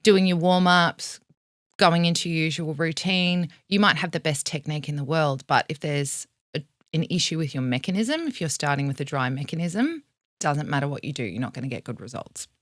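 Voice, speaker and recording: A woman's voice: low voice, a little fast, no echo, clean studio sound. A woman vocal coach with an Australian accent, explaining vocal health in a frank, teacherly way and swearing casually.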